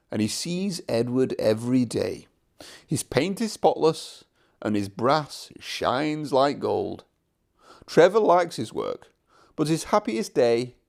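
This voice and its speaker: A man narrating a children's storybook from a script in an expressive storytelling manner. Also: mid-range voice, gravelly.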